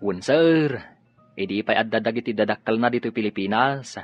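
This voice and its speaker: A man's voice: fairly low pitch, normal speed, no echo, soft background music, lightly acted. Humble male family driver, muffled sound actor. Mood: deferential. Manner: respectful, simple. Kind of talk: radio drama acting. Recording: dull lo-fi radio sound.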